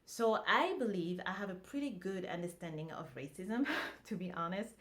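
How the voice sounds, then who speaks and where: Relaxed woman. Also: fairly low pitch, normal speed. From France. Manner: relaxed, conversational, many fillers and restarts. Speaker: vlogger. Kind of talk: casual talk to camera.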